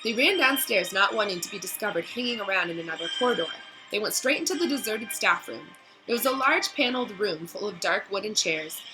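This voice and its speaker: A woman's voice: mid-range voice, lively intonation, a little fast, over music, poor audio. A woman reading a children's book aloud, with casual asides to listeners. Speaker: reader of a children's read-aloud, addressing listeners.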